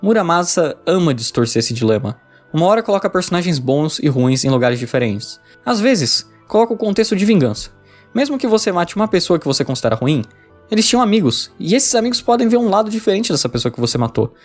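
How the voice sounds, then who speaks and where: A man's voice: high voice, very animated, fast talker, faint music behind. A man with a Brazilian Portuguese accent reading an informal video essay script, conversationally addressing the viewer.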